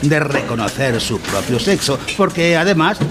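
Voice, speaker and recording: A man playing a pompous, didactic lecturer in a satirical educational radio drama, deadpan. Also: mid-range voice, moderate pace, noisy background, natural voice. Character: pompous male lecturer of an absurd mock educational course.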